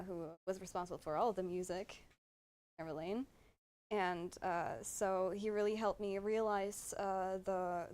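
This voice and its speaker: A woman's voice: medium pitch, darkish tone. A woman chatting casually on a livestream in a hesitant, self-effacing way, as an amateur songwriter. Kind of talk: casual chat.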